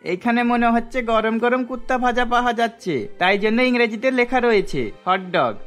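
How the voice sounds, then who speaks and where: A woman's voice: fairly high pitch, a little fast, soft background music, pitched below the performer's own voice, lightly acted. A woman voicing a naive, curious village boy in a cartoon, wide-eyed and puzzled. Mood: curious, amazed.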